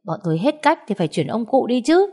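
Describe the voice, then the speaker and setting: A woman voicing a rude, grasping middle-aged aunt who wails and scolds loudly in an audio story. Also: a little high, sing-song, quick pace, breathy edge, voice pushed up. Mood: scornful. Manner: wailing, scolding, loud.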